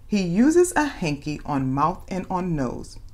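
A woman with a slightly low voice reads a picture book aloud for young children. Her delivery is very animated, with wide swings in pitch. She plays up the story as a host speaking to little listeners. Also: measured pace. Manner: animated, dramatizes questions and exclamations for young children.

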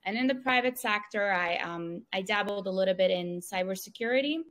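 Woman, thirties, measured with fillers, earphone mic on a video call. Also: mid-range voice, a bit bright. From Ukraine, raised in Israel. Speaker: podcast guest. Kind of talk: casual interview answers. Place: small room at home. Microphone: wired earphone mic on video call.

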